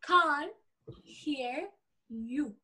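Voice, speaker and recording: A woman in her twenties speaks casually on a video call from a room at home. Her voice is high and bright, and she takes her words slowly. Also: lively intonation, rough recording. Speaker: actor at an online table read.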